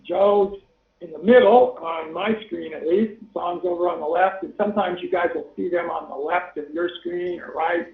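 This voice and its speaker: Gravelly high-voiced man on a call. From Northern Colorado. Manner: chatty, rambling, lots of fillers. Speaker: webinar host. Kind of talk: casual webinar introduction. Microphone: video call. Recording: tinny phone-like line, roomy echo.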